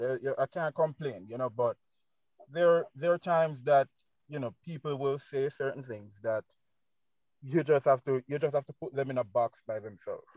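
A young man with a Jamaican accent telling things casually and haltingly, repeating phrases, on a dull phone video call. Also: medium pitch, darkish tone, no room sound. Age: young adult. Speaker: panelist, business owner. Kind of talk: casual anecdotal talk.

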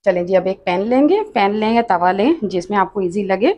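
A woman's voice: mid-range voice, lively intonation, brisk, dark tone. A woman narrating a home cooking tutorial, chatty and instructive, often saying "achha" and "dekhiye". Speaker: cooking channel host. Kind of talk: step-by-step cooking tutorial voice-over. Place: home kitchen.

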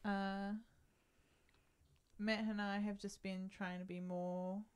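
A woman co-hosting a casual podcast chat, relaxed and giggly, with a soft, lower tone. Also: slow, clean tone. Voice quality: lower, docile tone. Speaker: podcast co-host.

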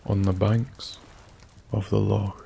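A Scottish man narrating a bedtime story in a soft-spoken, soothing ASMR style, with long pauses. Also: lowish voice, level tone, vocal fry. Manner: slow, soothing, hushed delivery with long pauses. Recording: faint background noise. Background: soft nature ambience.

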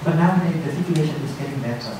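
A male lecturer answers audience questions in a large conference room. He hesitates and restarts often, and the voice comes from far off in a very echoey space. A steady hiss sits under it. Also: a little high, rapid-fire. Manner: hesitant, searching for words, many restarts. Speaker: guest lecturer. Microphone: far camera. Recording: echoey hall heard from far off, noisy.

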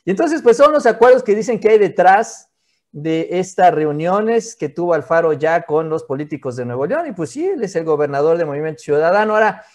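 A man's voice: sits high, darkish tone. A Mexican man in his forties giving conversational political commentary in an explanatory, roundabout way, recorded in a small office. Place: small home office, indoors.

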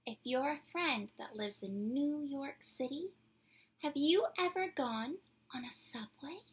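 High-voiced young woman reading aloud, unhurried. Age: 20s. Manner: deliberate, playful, dramatic phrasing. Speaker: children's read-aloud presenter. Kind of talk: expressive picture-book read-aloud for children. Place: small dim indoor room at home.

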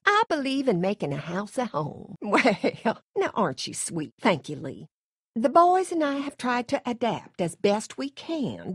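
A middle-aged Southern farm matriarch for a video game. Her voice swings expressively over a medium pitch, with a homespun drawl. She is coaxing and sweetly polite, with something sinister under the motherly manner. The recording is close and dry. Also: dry recording. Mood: sweet, hospitable. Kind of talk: acted video game dialogue.

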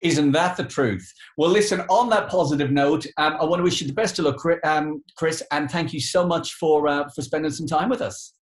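Higher-pitched British man in an echoey room. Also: normal speed, few pauses. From United Kingdom. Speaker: radio host, interviewer. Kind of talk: conversational interviewing. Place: living room on a sofa, indoors. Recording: echoey room on video call.

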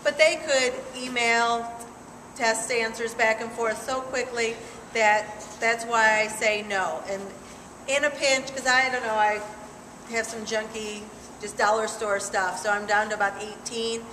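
A woman's voice: a little high, fairly even intonation, unhurried, sharp tone, slight background noise. A woman science teacher chatting practically about classroom rules at a meeting. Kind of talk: chiming in at a meeting. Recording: echoey hall.

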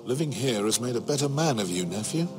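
An actor playing an earnest, proud middle-aged uncle of noble house in a fantasy game, solemn, sincere and measured. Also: male voice, mid-range voice, expressive, over music, lightly acted. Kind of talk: dramatic fantasy game acting.

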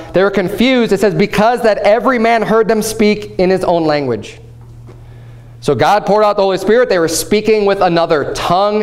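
Man in his thirties, pastor, lively conversational sermon, fairly high voice, church platform. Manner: animated, gesturing, conversational preaching. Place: church platform before a painted backdrop.

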